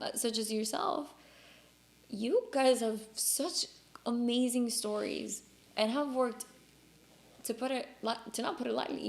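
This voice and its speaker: Woman from cuba, native spanish speaker, kinda high voice, chatty podcast host, earnest and emphatic. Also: gravelly. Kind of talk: casual conversational podcast talk.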